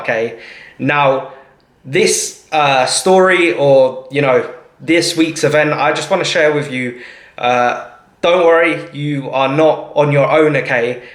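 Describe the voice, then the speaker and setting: A man talking informally to viewers as a vlogger, in a hesitant, reassuring way, often checking in at the end of his points. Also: a little high. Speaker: vlogger and marketing agency owner. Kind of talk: informal talk to camera. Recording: echoey, reverberant room.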